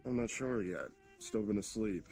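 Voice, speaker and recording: A man's voice: on the low side, lively intonation, normal speed, warm tone. A man playing a proud, blunt, ancient demon king in an improvised online fantasy roleplay, coolly threatening and dismissive. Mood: casual, plotting. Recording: background music under the voice.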